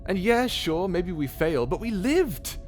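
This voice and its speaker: A man's voice: high voice, big pitch swings, unhurried. A man playing a cocky, persuasive space pirate captain in an audio drama, flippant and rousing. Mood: urgent, rousing. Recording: background music under the voice.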